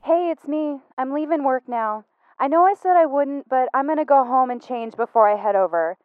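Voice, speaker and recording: A woman's voice: high-pitched, moderate pace, clear, resonant tone, no room sound. A woman playing an affectionate partner leaving a tender, casual voicemail through a phone filter in an audio drama. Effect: telephone voicemail filter. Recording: tinny narrow phone line.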